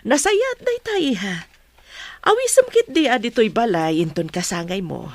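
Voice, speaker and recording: A woman's voice: on the high side, sing-song, moderate pace, dry recording. A woman playing an approving, inquisitive mother of a young woman in an Ilocano audio drama. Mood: curious, pleased.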